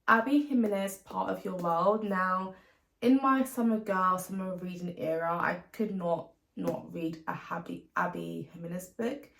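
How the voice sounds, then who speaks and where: A woman's voice: mid-range voice, gravelly, reverberant, low-quality recording. A British woman talking casually and chattily as a book vlogger, informal and given to emphatic repetition. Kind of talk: casual chat to camera about reading plans.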